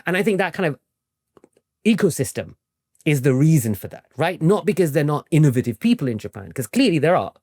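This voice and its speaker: A man's voice: on the high side, sing-song, brisk, darkish tone, no echo, clean studio sound. A man giving explanatory answers as an expert interview guest, often tagging his points with a questioning "right?". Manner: frequently tags statements with "right?". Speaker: interview guest, academic author and historian.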